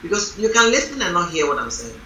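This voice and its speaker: Loud, strong-voiced woman in a roomy hall. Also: lowish voice, sing-song, quick pace, a little hiss or hum. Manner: emphatic, storytelling. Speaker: reverend leading a panel on marriage. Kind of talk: panel discussion. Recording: roomy hall from a distant mic.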